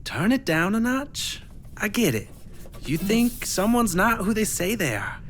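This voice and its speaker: A woman's voice: mid-range voice, very animated, unhurried, a little hiss or hum. A woman playing a cool, laid-back, needling passenger in an audio drama, full of hipster slang. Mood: cool, teasing.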